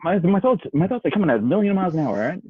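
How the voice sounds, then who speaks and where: A man's voice: high-pitched, expressive, racing, slightly rough, dry recording. A young Australian man bantering casually and bluntly as a podcast co-host, swearing freely and full of opinions. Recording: muffled phone-like radio sound.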